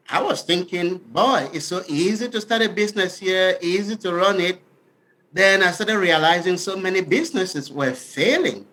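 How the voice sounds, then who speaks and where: A man's voice: high-pitched, bright, forceful tone, rough recording. A man with a Cameroonian accent explaining things earnestly and instructively as a podcast guest. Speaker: podcast guest, business author. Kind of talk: explanatory interview talk.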